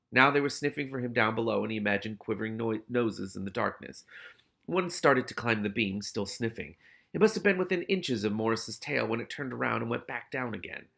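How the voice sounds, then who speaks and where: A man's voice: medium pitch, creaky, fairly bright tone. A man reading a novel aloud expressively, with casual, candid asides of his own.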